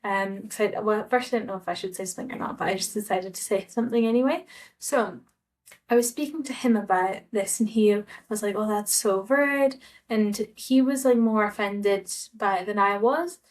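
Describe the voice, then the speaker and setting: A woman's voice: medium pitch, moderate pace. A young Scottish woman talking casually to camera in a vlog, hesitant and full of fillers and restarts. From Scotland. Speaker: vlogger.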